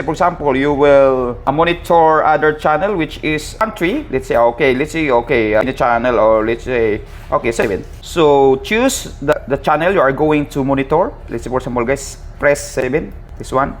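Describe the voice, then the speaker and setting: A man with a slightly high voice teaches in a casual, hands-on tutorial style. He explains step by step as an instructor and host, haltingly, repeating himself and often addressing his listeners directly. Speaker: maritime instructor and channel host.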